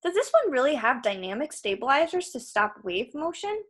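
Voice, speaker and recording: Storybook boy hero, sly and guarded, casual front, animated higher register , woman performing. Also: normal speed. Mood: feigned casual. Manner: feigned casualness with a bully. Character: young boy hero, wary, quick-witted, slyly flattering.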